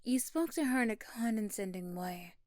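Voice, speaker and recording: A woman narrating a scripted ASMR story in a hushed, dramatic way, often dropping toward a whisper. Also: mid-range voice, animated, moderate pace, dry recording, clean studio sound. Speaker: narrator of audio roleplay.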